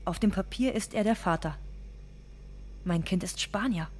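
A woman's voice: medium pitch, moderate pace, darkish tone, lightly acted. A woman playing a guarded, hurt immigrant mother in a radio play, telling it in short, plain, repetitive sentences. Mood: plain, insistent. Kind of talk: literary monologue in a radio play.

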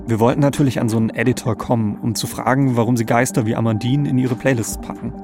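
Guy narrating a podcast, medium pitch, talks conversationally over music. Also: steady tone, quick pace.

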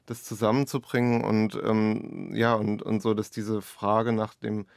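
A man's voice: on the low side, level tone, a little slow, creaky, no room sound, studio quality. A man being interviewed as an author, talking reflectively in hesitant, searching explanations full of qualifiers.

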